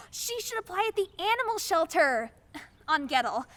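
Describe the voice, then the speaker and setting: A woman's voice: very high voice, big pitch swings, normal speed, fairly bright tone, exaggerated voice. A woman acting in a radio play as a chatty, awkward, eager-to-please young neighbor who gushes and apologizes. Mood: helpful, eager. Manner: gushing, apologetic, hesitant.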